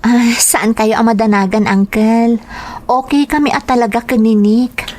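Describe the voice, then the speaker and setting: An actress with a Filipino Ilocano accent playing a dutiful, soft-spoken young wife in an audio drama. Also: female voice, fairly high pitch, fairly even intonation, normal speed, lightly acted. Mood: reassuring. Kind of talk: radio-style drama acting.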